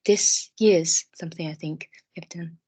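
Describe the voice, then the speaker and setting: A woman's voice: sits low, fairly even intonation, moderate pace, mellow, slightly rough. A woman co-hosting an academic podcast interview, speaking in an encouraging, appreciative way. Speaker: podcast co-host.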